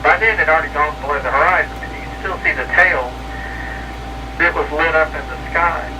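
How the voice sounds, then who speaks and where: A man's voice: fairly high pitch, brisk. A man chatting casually and telling stories over CB radio in a rambling way, repeating and correcting himself. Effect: CB radio transmission. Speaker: hobby CB radio operator. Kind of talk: casual CB radio chat and storytelling. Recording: distant, noisy CB radio transmission.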